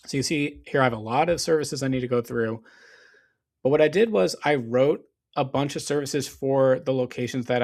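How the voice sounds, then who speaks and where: A man who is losing his voice, walking viewers through his business setup in a casual screen-share tutorial, with lots of fillers and restarts. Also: medium pitch. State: losing his voice, clearing throat. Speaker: SEO business owner.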